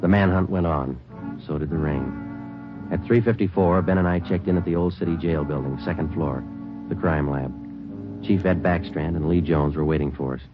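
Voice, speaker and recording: A man's voice: low voice, moderate pace, over music, natural voice. A man with an American accent playing a terse, matter-of-fact police detective in a 1940s radio drama. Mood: deadpan. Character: male police detective, terse, businesslike, narrating his case. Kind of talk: 1940s radio acting, clipped narration. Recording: muffled old radio recording.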